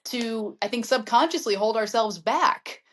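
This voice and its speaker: A woman's voice: on the high side, a little slow, a bit bright. Earnest, reflective woman guest. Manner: reflective, earnest, frequent "I mean" and "yep". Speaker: guest, real estate agent and mindset coach. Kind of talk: casual interview chat.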